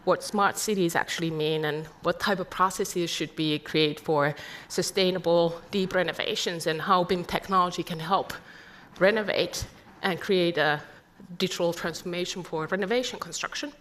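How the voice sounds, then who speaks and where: A woman's voice: lowish voice, fairly even intonation, moderate pace, fairly bright tone, a little hiss or hum. A middle-aged woman from Finland with a Finnish accent, giving an earnest conference presentation full of rhetorical questions. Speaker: conference speaker.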